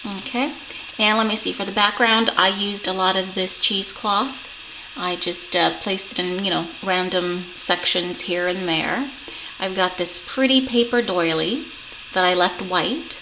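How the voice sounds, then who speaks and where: Woman, croaky voice, chatty craft show-and-tell, ums and self-corrections, muffled lo-fi sound. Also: medium pitch, steady tone, measured pace. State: croaky from a sore throat. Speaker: crafting channel host. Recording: dull lo-fi home recording with noticeable background hiss.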